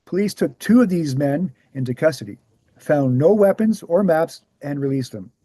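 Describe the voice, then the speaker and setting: A man reading the scripted part of a measured author who answers questions at a talk, deliberate and explanatory. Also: a little high, animated, dark tone, slightly rough, no room sound. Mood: grave, explanatory. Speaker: actor in a screenplay table read. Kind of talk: scripted dialogue read aloud.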